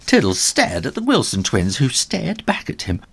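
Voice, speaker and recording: A man reading a children's story aloud in a dramatic, animated storybook style. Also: mid-range voice, moderate pace, gravelly, husky. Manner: dramatic, with acted sound effects.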